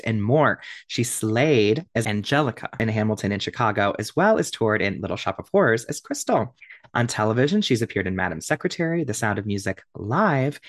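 A man from Ohio co-hosting a podcast, gushing and excitable, laughing often as he chats and reads out a guest introduction. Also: mid-range voice, no echo, clean studio sound. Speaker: podcast co-host. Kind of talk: casual chat, reading a guest introduction.

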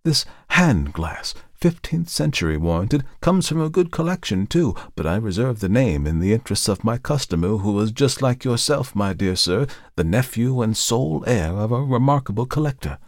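A man's voice: lowish voice, very animated, a little slow, dry recording, voice pushed up, heavily acted. Man voicing a dry, biting little antique dealer. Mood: sly, wheedling. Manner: sly, chuckling, formally polite salesman. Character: shrewd, mocking little male antique dealer, impatient. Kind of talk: audiobook character acting.

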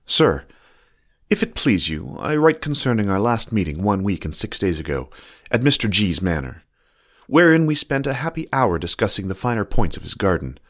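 Man voicing a genteel fox letter-writer, formal and measured, low voice, lively pitch. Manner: formal, measured, old-fashioned. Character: genteel, earnest fox writing love letters. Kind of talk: reading letters aloud from a story.

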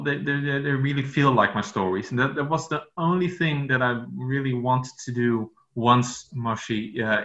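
A man from the Netherlands whose first language is Dutch, speaking English as a podcast guest and talking haltingly, with many fillers and restarts. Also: mid-range voice, pushed and bright, echoey room, dull audio. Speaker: author guest. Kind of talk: podcast interview.

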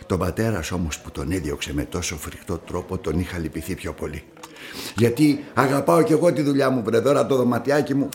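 A man's voice: fairly low pitch, steady tone, darkish tone, a little hiss or hum, lightly acted. An actor playing an older, fatherly coffee-shop man in a radio drama, chatty but evasive, with hesitant, repetitive, familiar speech. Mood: fatherly, melancholy. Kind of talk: naturalistic radio drama dialogue.

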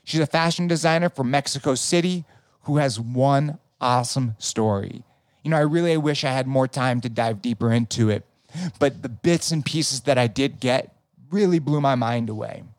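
A man's voice: medium pitch, moderate pace, dry recording. A man hosting a podcast, chatting casually and upbeat with a guest, swearing now and then. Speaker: podcast host. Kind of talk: casual interview chat.

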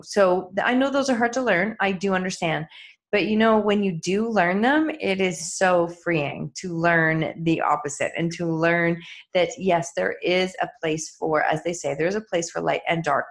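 A middle-aged woman coach speaking candidly and earnestly to her listeners in a reflective solo talk, with soft laughs. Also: fairly low pitch. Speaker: coach and author.

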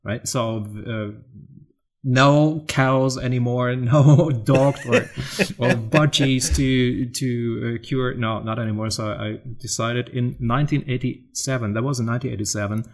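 Middle-aged German-accented man, halting. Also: mid-range voice, fairly even intonation. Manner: restarts and repeats words, searching for English. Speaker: guest, photographer. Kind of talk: casual interview chat.

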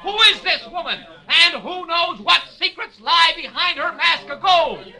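Showy burlesque emcee, 40s radio style, really high sharp voice, big grand announcing. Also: male voice, expressive, measured pace, exaggerated voice. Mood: grand, excited. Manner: grandiose, theatrical, declaiming. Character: flamboyant male burlesque emcee, grandly hyping a mystery dancer. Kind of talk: 1940s radio acting, stage barker introduction. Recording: vintage 1940s radio recording.

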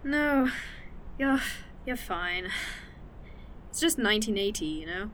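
A woman's voice: medium pitch, lively intonation, takes it slowly, fairly bright tone, poor audio. Woman voicing a blunt time-traveling mechanic, wry, faint hiss, audio drama.

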